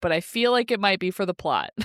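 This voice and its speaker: A woman's voice: medium pitch, sing-song, normal speed, dry recording, studio quality. A woman co-hosting a casual book discussion, informal and often laughing as she talks. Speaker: podcast co-host.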